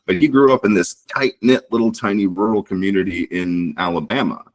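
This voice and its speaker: A man's voice: on the low side, brisk. A man hosting a podcast interview, friendly and affirming, with small laughs as he chats. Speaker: podcast host. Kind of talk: conversational interview. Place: home, New Orleans.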